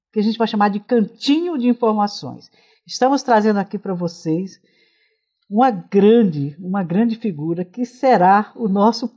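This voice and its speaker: A woman from Northeast Brazil hosting her channel and interviewing a guest, often halting and restarting her questions. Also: medium pitch, mellow, muffled recording. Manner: hesitant, with many fillers and restarts.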